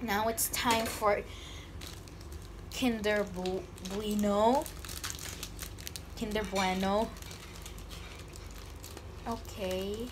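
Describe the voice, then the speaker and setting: A woman's voice: medium pitch, steady tone, drawn-out words, long pauses, background noise. A woman unboxing candy on camera in a casual vlog, joking and pretending to be scared. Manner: playful, mock-scared squeals and jokes. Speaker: vlogger. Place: indoors at a table. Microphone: phone camera held over a table.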